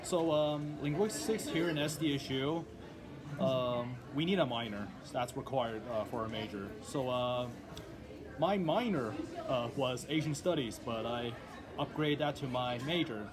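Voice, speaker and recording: A young man whose first language is Cantonese, talking in a relaxed, casual way with plenty of ums and uhs. His voice sits at an ordinary pitch, and a crowd chatters clearly in the background. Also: moderate pace. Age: young adult. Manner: relaxed, frequent fillers. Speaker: linguistics student. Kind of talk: casual chat.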